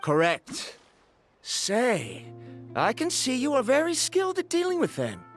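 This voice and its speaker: Awkward nerdy researcher, high voice, swoopy intonation, blunt and formal, game character. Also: male voice, huge pitch swings, a little slow, faint music behind, heavily acted. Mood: earnest, insistent. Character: obsessive, socially awkward young male scholar, stiff and earnest. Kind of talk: video game character acting.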